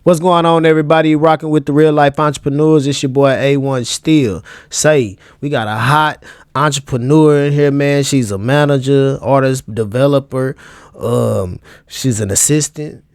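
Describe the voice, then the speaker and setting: A man's voice: mid-range voice, fairly even intonation, normal speed, clear, resonant tone, no echo. Laid-back man hosting, dry sound. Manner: laid-back, slangy questions. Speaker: podcast host. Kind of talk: casual podcast interview.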